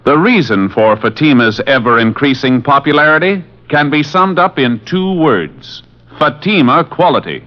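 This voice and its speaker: A man working as a radio announcer, delivering scripted 1950s announcements and sales copy in a measured, emphatic way. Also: fairly high pitch, expressive. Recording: muffled vintage radio broadcast.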